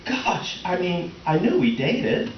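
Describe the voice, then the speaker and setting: Man acting baffled husband, wildly swooping pitch. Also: sing-song, slow pace, a loud crowd, heavily acted. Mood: concerned, baffled. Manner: hesitant, earnest, awkwardly puzzled. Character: polite, baffled middle-aged husband, awkward and placating. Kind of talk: comic stage-play reading. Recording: echoey, distant, muffled noisy live recording.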